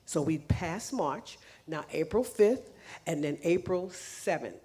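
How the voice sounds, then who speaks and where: Woman, church announcer, lively, lowish voice, non-native English speaker, chatty, lots of restarts. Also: takes it slowly. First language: not English. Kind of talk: announcements to a congregation. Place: church sanctuary.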